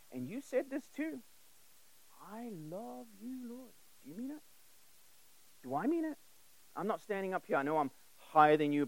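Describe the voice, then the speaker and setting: A man's voice: fairly high pitch, rough recording. Emphatic male preacher from South Africa. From South Africa, born in England. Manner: conversational, emphatic, asks direct questions and repeats phrases. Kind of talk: sermon with personal anecdotes.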